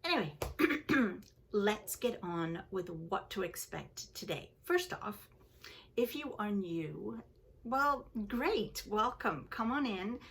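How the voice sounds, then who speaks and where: Chatty retired woman with a gravelly edge. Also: mid-range voice, expressive, slow, a bit bright, a little hiss or hum. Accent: Canadian-influenced English, originally from Liverpool. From England. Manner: chatty, rambling, self-deprecating. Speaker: knitting podcast host. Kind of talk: casual chat to camera. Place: home, seated indoors.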